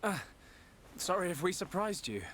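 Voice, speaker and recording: A man's voice: sits high, sing-song, normal speed, fairly bright tone, slight background noise. An actor playing an earnest, polite young male adventurer with a British accent in a video game. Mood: earnest, tentative. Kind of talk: video game cutscene acting.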